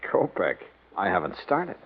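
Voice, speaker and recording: An actor playing a hardboiled, wry American insurance investigator in a 1950s detective radio drama. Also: male voice, deep voice, wildly swooping pitch, moderate pace, exaggerated voice. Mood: dry, casual. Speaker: lead actor and narrator. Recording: muffled old radio recording with music.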